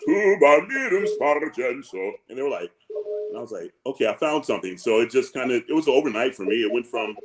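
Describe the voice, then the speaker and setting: A man who sings low parts, chatting in an animated, joking way over a video call. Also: fairly quick. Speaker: opera singer, bass-baritone. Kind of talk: casual panel chat.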